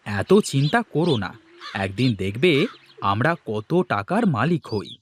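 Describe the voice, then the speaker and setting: A man's voice: medium pitch, big pitch swings, measured pace, slight background noise. A man voicing a scheming, boastful village husband in a cartoon, bossy and coaxing in manner. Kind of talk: Bengali cartoon voice acting.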